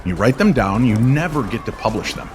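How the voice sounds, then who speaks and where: A man playing a calm, enigmatic stranger in a mystery audio drama, speaking in a measured, cryptic way. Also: mid-range voice, noisy background, natural voice. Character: calm, enigmatic stranger who knows everyone. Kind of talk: old-time radio style drama.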